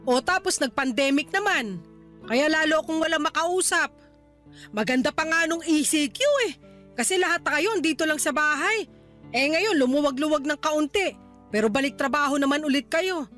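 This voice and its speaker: A woman's voice: high voice, normal speed, fairly bright tone, faint music behind. A woman playing a stubborn, touchy but fond elderly mother who bickers and complains in a Tagalog radio drama. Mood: defensive, grumbling. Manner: feisty, complaining, bantering.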